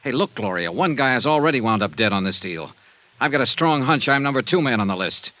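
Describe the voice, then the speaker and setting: An actor with an American accent playing a tough, wry insurance investigator in clipped 1950s radio detective style. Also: male voice, mid-range voice, animated, brisk, bright, forceful tone, no echo. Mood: sardonic, hard.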